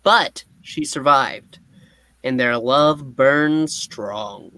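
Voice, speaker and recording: A man's voice: mid-range voice, extremely slow, sharp tone, poor audio. A man reading narration from a comic book, with casual asides.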